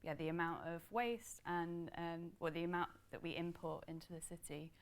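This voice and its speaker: A woman's voice: on the low side, fairly even intonation, moderate pace. A woman researcher on a panel answering a question hesitantly, with many ums.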